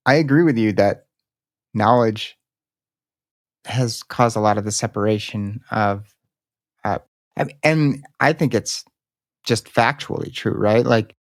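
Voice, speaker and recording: A man's voice: fairly low pitch, no room sound. A man from the Chicago area hosting a podcast, talking in a casual way with frequent fillers and putting questions to his guest. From Chicago area, United States. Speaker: podcast host. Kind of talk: podcast interview.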